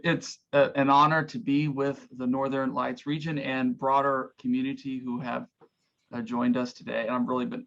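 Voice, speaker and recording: Gravelly, even-toned male pastor on dull audio. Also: mid-range voice. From Oklahoma, United States. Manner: earnest, reflective, halting. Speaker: pastor, guest speaker. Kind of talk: informal opening remarks of a talk. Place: online video call. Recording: dull, lo-fi video call audio.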